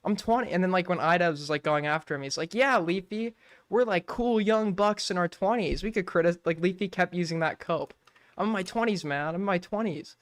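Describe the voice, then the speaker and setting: A man's voice: sits high, brisk, fairly bright tone, no echo. A young man in his twenties chatting casually on a late-night stream, rambling and swearing, filling his talk with "like". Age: 20s. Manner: rambling, swears a lot, fills with "like".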